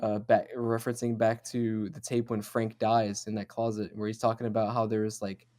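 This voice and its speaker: Mellow-voiced man chatting through horror lore. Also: on the low side, flat delivery, moderate pace. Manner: rambling, profane, speculative. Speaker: host of a horror discussion show.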